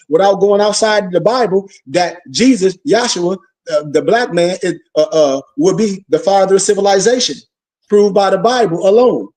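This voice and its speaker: High-voiced man preaching a lecture. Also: darkish tone, far from the mic. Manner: preachy, rhetorical questions, call-and-response. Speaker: religious teacher. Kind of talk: informal lecture.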